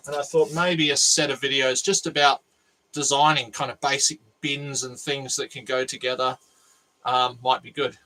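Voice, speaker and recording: A man's voice: medium pitch, flat delivery, normal speed, bright, forceful tone, low-quality recording. A nasal-voiced Australian man hosting a casual livestream from his workshop, thinking aloud and rambling with restarts. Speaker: maker livestream host. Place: home workshop. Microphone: cheap USB microphone.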